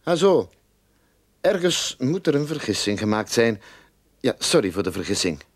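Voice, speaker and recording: A man's voice: medium pitch, big pitch swings, moderate pace, breathy edge. A male actor playing a brusque private detective with oily politeness in a radio play. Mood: apologetic bluster. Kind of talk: Dutch-language radio play acting.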